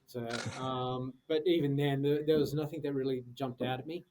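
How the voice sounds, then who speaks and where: A man's voice: medium pitch, steady tone, moderate pace, dark tone, slight background noise. A middle-aged man, talking casually as a podcast guest, with many fillers and restarts. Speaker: guest, security executive.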